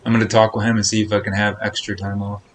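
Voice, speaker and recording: A man's voice: lowish voice, level tone, quick pace, a little hiss or hum. A man chatting casually on a live game stream, with a lingering cough. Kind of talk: casual live stream chat while playing.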